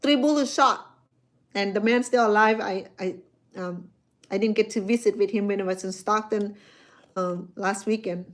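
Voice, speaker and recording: A middle-aged woman speaking English with a noticeable Cambodian accent, talking loosely to her live-stream audience. She stumbles and repeats words as she restarts her thoughts, in an easy, chatty way. Her voice sits at an ordinary middle pitch for a woman. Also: normal speed. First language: Khmer. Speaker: chef hosting a live stream. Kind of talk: casual live-stream chat with viewers.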